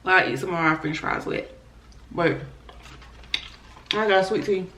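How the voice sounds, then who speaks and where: A hoarse woman hosting an eating show, chatting casually and talking to viewers as y'all between bites. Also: fairly low pitch, a little slow, noisy background. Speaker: mukbang host. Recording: echoey room.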